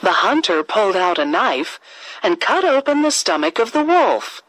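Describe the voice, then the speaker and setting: A woman narrating a scripted children's fairy tale with deliberate, expressive phrasing for young listeners. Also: mid-range voice, background noise. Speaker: storyteller.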